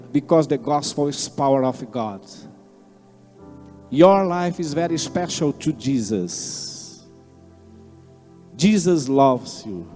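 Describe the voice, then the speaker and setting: A man, preaching a sermon with fervent swings between loud declaiming and low asides. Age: 60s. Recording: long hall reverb, faint instrument music behind.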